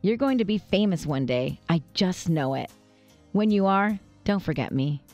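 A woman's voice: on the low side, lively intonation, moderate pace, a little mellow, highs cut off, faint music behind. An American woman in her thirties co-hosting a radio show with casual banter. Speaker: radio co-host. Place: radio studio with glass wall. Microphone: close studio microphone.

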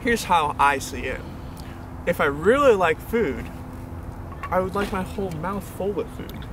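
A man's voice: high voice, lively intonation, unhurried. A young man in casual, teasing banter about how he eats food, sitting at an outdoor table. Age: young adult. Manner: teasing, laid-back banter. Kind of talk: casual chat. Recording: outdoor street noise.

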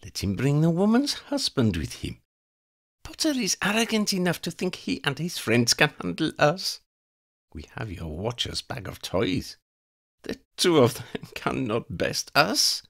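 A ruthless vampire woman gives her orders in a high, lifted voice that sits far above the man reading her's own register. She talks icily and imperiously, and her pitch swings widely from line to line. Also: gravelly, a bit bright, heavily acted. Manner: icy, imperious. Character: cruel scheming female vampire, commanding. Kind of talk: fan fiction audiobook reading.

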